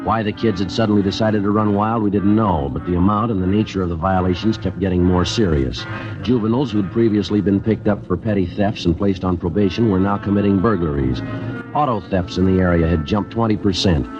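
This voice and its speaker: A terse, world-weary American police sergeant, played by a man, in a vintage radio crime drama. He speaks low and flat, in clipped matter-of-fact phrases. The sound is muffled and old, with music clearly behind. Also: fairly quick, natural voice. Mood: deadpan. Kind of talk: 1950s radio crime drama acting and narration.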